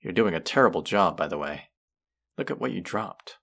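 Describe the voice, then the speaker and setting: Casting: male actor as rude, smug college twin for audio roleplay; low voice, heavy fry, sardonic drawl. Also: lively intonation, normal speed, a bit bright, heavily acted. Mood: bossy. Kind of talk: scripted roleplay addressed to the listener.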